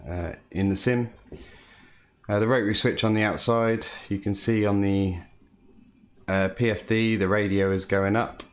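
Slow, calm man with a low voice. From United Kingdom. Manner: calm, unhurried, frequent "uh" fillers. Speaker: home cockpit builder. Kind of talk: casual hobby walkthrough.

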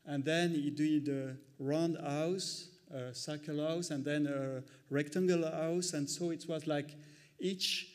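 A man walks through his architectural projects, hesitating often with uh and using non-native phrasing. His voice sits a little on the higher side. He speaks in a large lecture hall. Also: fairly even intonation. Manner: halting, many uh fillers, non-native phrasing. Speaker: presenting architect. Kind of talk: project presentation.

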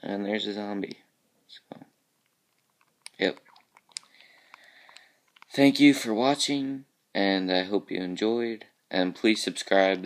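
Groggy, low-voiced man just woken up. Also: creaky. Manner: mumbly, trailing off, quiet asides. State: groggy and raspy, just woke up. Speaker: level creator showing his game work. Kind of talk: casual screen-capture walkthrough.

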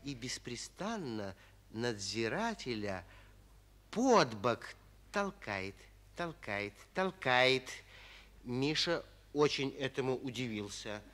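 A man's voice: mid-range voice, very animated, slow pace, fairly bright tone. A man narrating a 1940s children's radio play as a dramatic storyteller.

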